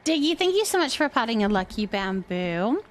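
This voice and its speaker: A woman chatting casually while streaming a game, thinking out loud as she talks to her viewers. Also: fairly high pitch, sing-song, moderate pace. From California, United States. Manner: chatty, thinking aloud to herself. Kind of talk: casual gameplay stream chat.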